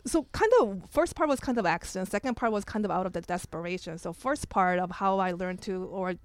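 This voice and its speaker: A woman's voice: fairly low pitch, brisk, dry recording. A woman, a chef talking candidly as an interview guest, full of restarts and fillers. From Korea. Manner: candid, restarts often, many "like" and "you know". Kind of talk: casual onstage conversation.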